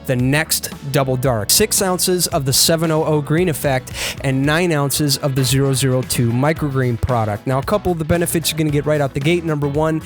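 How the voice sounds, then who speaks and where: A man with a slightly raised pitch explains lawn care in an upbeat, chatty tutorial. He keeps talking directly to his viewers as "you guys." Soft music plays faintly under his voice. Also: a little fast.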